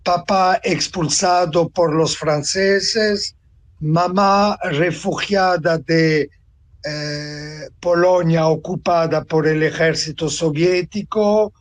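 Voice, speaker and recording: A man in his seventies from Tunisia, giving a reflective personal account as an interview guest, deliberate and pausing to find words. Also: fairly high pitch, fairly even intonation. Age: 70s. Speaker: interview guest, author and translator.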